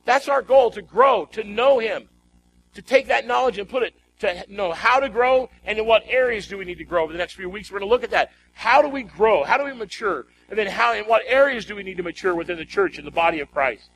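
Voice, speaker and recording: A man's voice: high voice, a little fast, fairly bright tone, slight background noise, rough recording. A man preaching a sermon as a pastor, emphatic and exhorting, repeating phrases and putting rhetorical questions to his congregation.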